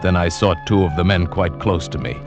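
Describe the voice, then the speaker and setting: An actor narrating as a climber who survived a great fall, telling it dramatically in first person in an old radio drama, with music behind him. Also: male voice, deep voice, fairly even intonation, brisk. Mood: tense. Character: adult male climber recounting his fall. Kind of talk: 1940s radio acting.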